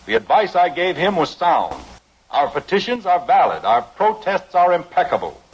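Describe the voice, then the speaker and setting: A man's voice: high voice, sing-song, normal speed, husky, heavily acted. An actor playing a stern, exasperated middle-aged colonial father in a pompous, wordy 1950s radio comedy style. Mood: indignant. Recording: muffled, noisy old radio recording.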